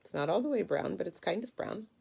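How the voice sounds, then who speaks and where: A woman's voice: fairly low pitch, animated, quick pace, creaky, darkish tone, husky. A woman teaching through a storybook read-aloud, guiding children and prompting them with questions.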